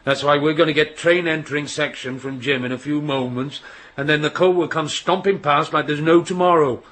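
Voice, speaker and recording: Actor as gruff West Country signalman dad, noisy ambience. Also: on the high side, a little fast, a bit bright, lightly acted. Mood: matter-of-fact, grumbling. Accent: English West Country. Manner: gruff, chiding, colloquial working man's talk. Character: gruff, teasing middle-aged railway signalman, a bossy father. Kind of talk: naturalistic radio drama acting. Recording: radio play with background noise and ambience.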